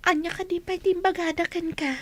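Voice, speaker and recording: An earnest young village girl in a radio drama. Her voice is extremely high and girlish, with an anxious edge. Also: flat delivery, fast talker. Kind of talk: Ilocano radio drama acting.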